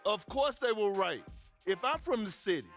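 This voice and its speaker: A man's voice: sits high, big pitch swings, normal speed, fairly bright tone, faint music behind, exaggerated voice. An actor playing a brash, mocking male sports TV pundit in a video game cutscene.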